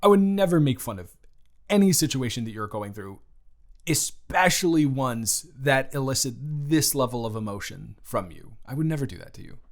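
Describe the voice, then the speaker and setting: A man's voice: mid-range voice, lively intonation, moderate pace, natural voice. A man playing a protective, smitten young friend in an intimate improvised audio roleplay, sincere and flustered, stammering and restarting his phrases. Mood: earnest, concerned.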